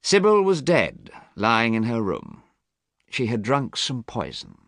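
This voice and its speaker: A man voicing a worldly, persuasive gentleman friend in a read-aloud story, with a theatrical, coaxing lilt. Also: medium pitch, wildly swooping pitch, takes it slowly, no echo, clean studio sound, voice pushed up, full character voice. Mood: grave. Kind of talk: audiobook character reading.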